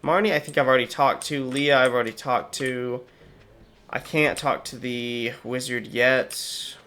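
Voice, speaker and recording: A man's voice: mid-range voice, fairly even intonation, a little slow, bright, forceful tone. A young man with a slightly sore voice, chatting casually through game commentary with wry asides. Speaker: gaming channel host.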